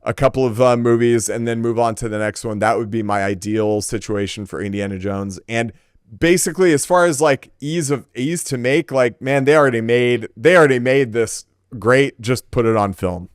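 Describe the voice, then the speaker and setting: A man with a clean, smooth voice talks casually as a podcast co-host. He gives opinions emphatically, restating phrases for stress, in a dry, close-sounding recording. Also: medium pitch, fairly even intonation, normal speed, no room sound.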